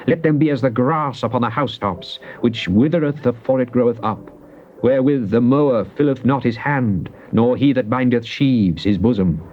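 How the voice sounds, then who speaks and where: A man giving a dramatized recitation of psalms, solemn and declamatory, with drawn-out emphasis. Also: medium pitch. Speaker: scripture reader. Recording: muffled, noisy old cassette tape.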